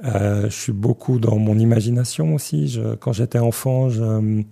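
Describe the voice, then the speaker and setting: A man's voice: mid-range voice, steady tone, normal speed, gravelly, mellow. A man with a Genevan accent, speaking as a guest author in a radio interview, reserved and hesitant with many restarts. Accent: Genevan French. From Switzerland (Geneva).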